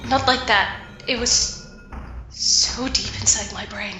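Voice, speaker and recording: Young fantasy heroine played by a woman, bookish, earnest, highish crisp bright voice, formal storyteller's diction, music underneath. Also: steady tone, slow pace. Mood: shaken, breathless. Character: shaken but curious young woman adventurer, bookish, romantic. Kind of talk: fantasy audio drama dialogue and first-person narration.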